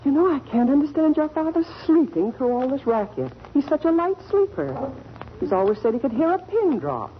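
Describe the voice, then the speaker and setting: A woman's voice: high voice, very animated, brisk. A woman playing a fretful, easily exasperated middle-aged mother and wife in a 1940s radio family comedy. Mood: worried, fussy. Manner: fussy, dramatic. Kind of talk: 1940s radio sitcom acting. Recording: muffled, noisy old radio recording.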